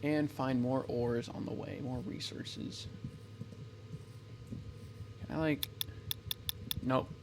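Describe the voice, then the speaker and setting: A man talks casually over his gameplay at a middling pitch, thinking aloud as he plays. He rambles and keeps stopping to correct himself. Faint noise sits under the voice. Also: fairly even intonation, slow pace, vocal fry, long pauses. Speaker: gameplay commentator. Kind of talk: casual let's-play commentary.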